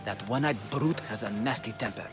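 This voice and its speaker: An actor playing a shrewd, teasing Greek shipbuilder in a video game, with a wry, haggling manner. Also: male voice, on the low side, fairly quick, music in the background, natural voice. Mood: wry warning. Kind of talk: video game cutscene acting.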